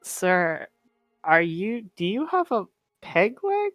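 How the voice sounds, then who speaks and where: A young man playing a tabletop roleplaying game, speaking in character in a halting, stammering way. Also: sits high, wildly swooping pitch, a bit bright, dry recording, studio quality, faint music behind. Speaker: player.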